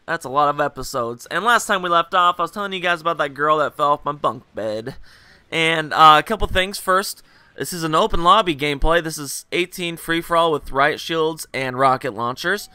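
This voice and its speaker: A young man hosting a gaming video, telling a rambling, joking story over gameplay while hungover, his voice a little rougher and lower than usual. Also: moderate pace, sharp tone, no room sound. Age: young adult. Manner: rambling, joking, full of fillers and asides.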